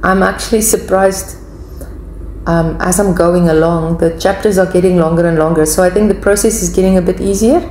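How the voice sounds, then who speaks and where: South african lady doing a casual q&a chat, soft mellow tone, echoey room w a bit of noise. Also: fairly low pitch, fairly even intonation, fairly quick. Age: 40s. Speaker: video channel host. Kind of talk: casual question-and-answer chat to viewers.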